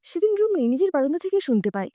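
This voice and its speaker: A woman storyteller reading a story aloud with a high, animated voice that rises and falls freely. Her tone is mellow and warm rather than bright. The recording is close and dry. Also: racing, no echo. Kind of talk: scripted audio story narration.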